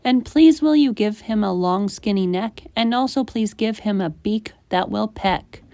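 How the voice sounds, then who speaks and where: A woman voicing an excitable, eager child in a storybook, exclaiming and pleading with wide-eyed earnestness. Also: mid-range voice, unhurried, dry recording, lightly acted.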